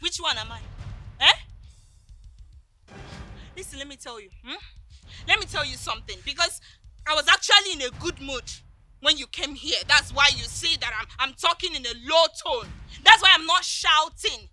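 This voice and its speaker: A woman's voice: high voice, lively intonation, moderate pace, long pauses, sharp tone, faint music behind, full character voice. A woman playing a sassy, mocking Nigerian girlfriend. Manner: taunting, sarcastic questions. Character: sassy, mocking girlfriend dumping her man.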